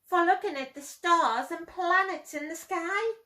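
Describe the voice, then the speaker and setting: A woman's voice: very high voice, a little slow, pushed and bright, pitched above the performer's own voice, exaggerated voice. A woman voicing a friendly, easily startled stargazing man in a children's storybook reading. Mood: enthusiastic. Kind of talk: children's storybook read-aloud. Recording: roomy echo.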